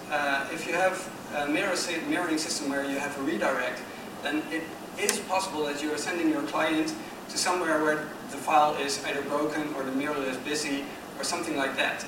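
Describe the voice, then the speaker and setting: A Dutch man presents a technical talk in a conference room, pausing and restarting often as he walks through his slides. His voice sits a little high. It is picked up from a distance, with heavy echo and steady background noise. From Netherlands. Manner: hesitant, many fillers and restarts while explaining slides. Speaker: conference speaker. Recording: echoey hall from far off with noise.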